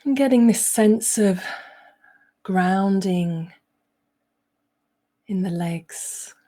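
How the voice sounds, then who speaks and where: A woman leading a guided meditation as a coach, speaking gently with frequent pauses as she gives instructions. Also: medium pitch.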